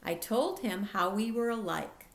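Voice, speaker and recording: A woman reading a children's chapter book aloud expressively, with careful, deliberate storytelling delivery. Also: mid-range voice, roomy sound.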